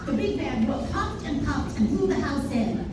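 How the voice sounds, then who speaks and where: Female storyteller, high voice, live kids' show narration; distant, echoey pickup with a noisy audience. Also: animated, normal speed. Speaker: narrator of a preschool puppet show. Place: indoor preschool room, live show. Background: audience chatter and room noise.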